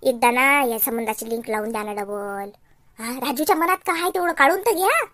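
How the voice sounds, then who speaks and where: A woman plays a sharp-tongued village wife from a comedy cartoon, very high in pitch. She nags, scolds and taunts in a Vidarbha Marathi accent, with a complaining edge to her delivery. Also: animated, fairly quick. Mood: nagging. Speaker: comic cartoon character.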